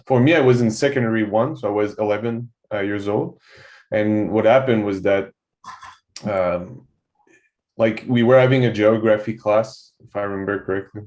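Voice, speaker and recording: A man's voice: lowish voice, moderate pace, a little mellow. A young man from Quebec with a French-Canadian accent, French his first language, talking casually as a guest on a video call and rambling with lots of filler phrases. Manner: loose and rambling, fills with "so on and so forth". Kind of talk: casual podcast conversation.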